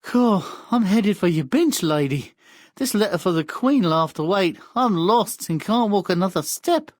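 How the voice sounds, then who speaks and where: A man's voice: high voice, lively intonation, normal speed, no room sound. A man voicing a carefree, overconfident young lad in a fairy tale game, casual and breezy. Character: cocky, carefree young lad, a luck child.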